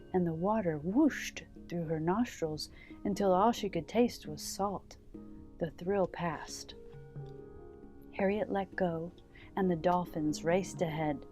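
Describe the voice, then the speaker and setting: A woman hosting a children's story time, reading a storybook aloud with gentle, deliberate phrasing. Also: on the low side. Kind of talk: read-aloud storybook narration.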